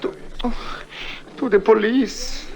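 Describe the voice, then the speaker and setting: An actor playing an anxious, deferential Mexican small-time crook with a Spanish accent, pleading and hesitant in an old radio drama. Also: male voice, high-pitched, fairly even intonation, slow pace, poor audio. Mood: nervous pleading.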